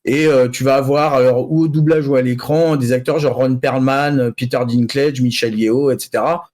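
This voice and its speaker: A man's voice: mid-range voice, fairly even intonation, moderate pace, a little mellow. A man giving film news as a podcast panelist, casual and colloquial, often repeating himself. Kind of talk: casual chat.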